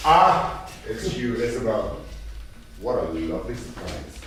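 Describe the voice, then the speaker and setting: A flirtatious gentleman in a stage drama. He talks slowly and smoothly, with a very mobile pitch. The sound is distant and very echoey. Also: sing-song, slight background noise. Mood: charming. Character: Riley, smooth, flirtatious antique furniture man. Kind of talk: staged play reading. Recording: echoey theatre from far off, music.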